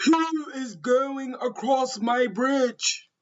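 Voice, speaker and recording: A woman voicing a mean, greedy male troll in a storybook, making loud, drawn-out, threatening demands. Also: high-pitched, muffled recording, voice pushed up. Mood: angry, threatening.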